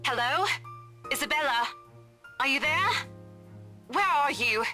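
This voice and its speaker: A woman's voice: sits high, lively intonation, measured pace, bright, forceful tone, soft background music, heavily acted. An actress playing a chatty young woman realtor, breezy, teasing and skeptical, in a visual novel game. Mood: searching.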